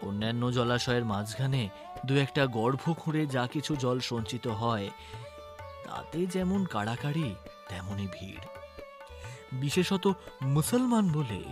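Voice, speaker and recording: A male storyteller reads dramatic scripted narration. He takes it slowly, in a mid-range voice, over soft background music. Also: medium pitch.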